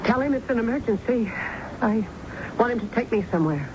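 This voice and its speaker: A woman's voice: a little high, animated, brisk, lightly acted. An actress playing a hard, bitter, guilt-ridden middle-aged mother, with dramatic, emotional 1970s American radio drama delivery. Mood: shaken. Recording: muffled, very noisy old radio broadcast.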